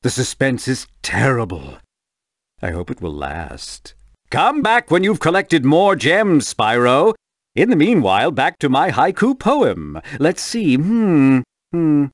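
A man's voice: medium pitch, no echo, clean studio sound. A man voicing a greedy, sly merchant creature in a video game, drawling with smug theatricality. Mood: self-satisfied. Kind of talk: video game character dialogue.

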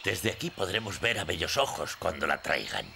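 An actor voicing a kindly, wise old gnome leader in a dubbed cartoon, avuncular and instructive. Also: male voice, sits low, very animated, moderate pace, gravelly, sharp tone, a little hiss or hum, heavily acted. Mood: explaining.

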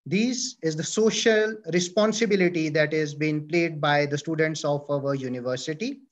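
A man's voice: fairly high pitch, moderate pace. A man with an Indian English accent presents a university department from slides, with fillers and self-corrections. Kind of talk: presenting slides in an online orientation. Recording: dull lo-fi audio.

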